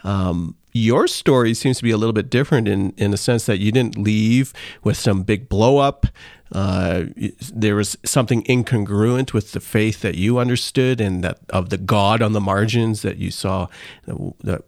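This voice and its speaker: Guy hosting an interview, low gravelly voice, dry close sound, measured. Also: a little mellow, dry recording. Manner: measured, searching for words. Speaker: podcast host. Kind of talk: interview questions.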